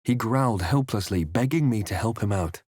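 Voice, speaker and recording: Brooding male adventurer from a fantasy game, grave n measured storytelling, close dry sound. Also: on the low side, expressive, darkish tone, dry recording. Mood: grave, narrating. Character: brooding male adventurer hero recounting his perils. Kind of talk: dramatic game narration and short character remarks.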